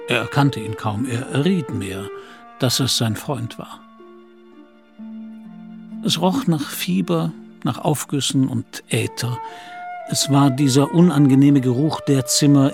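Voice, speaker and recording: A man narrates a radio play from a literary script. His voice is mid-pitched and his storytelling measured. Faint music runs behind him. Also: vocal fry, a little mellow.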